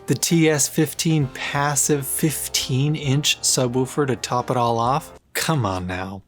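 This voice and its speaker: A male reviewer narrates a scripted product review in a medium-pitched voice. His delivery is colloquial and sprinkled with playful asides. Music plays behind him. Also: slow pace. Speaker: audio gear reviewer. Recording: music bed under the voice. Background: background music.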